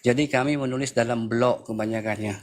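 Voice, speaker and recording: Lelaki Malaysia 50-an, gaya tegas. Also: male voice, mid-range voice, fairly even intonation, fairly quick. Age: 50s. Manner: emphatic, repeats phrases, rhetorical questions. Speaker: panel speaker in a live political discussion room. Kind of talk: informal political commentary.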